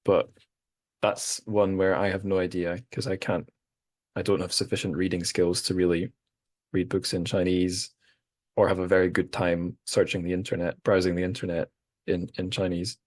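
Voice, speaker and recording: A man's voice: deep voice, little pitch movement, moderate pace, no room sound, clean studio sound. A man hosting a casual group conversation on his podcast, asking questions and moderating. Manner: inquisitive, moderating the talk. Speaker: podcast host.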